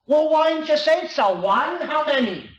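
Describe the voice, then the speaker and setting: Woman acting an impatient old shopkeeper, pitched up. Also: lively intonation, reverberant, lo-fi sound. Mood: exasperated. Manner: curt, exasperated, halting questions. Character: gruff, impatient old immigrant male shopkeeper.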